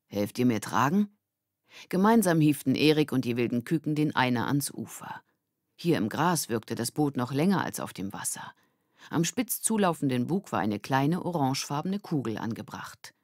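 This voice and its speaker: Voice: mid-range voice, a little mellow, dry recording. Storybook narrator with a dry, close sound. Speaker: audiobook narrator. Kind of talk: scripted children's storybook narration.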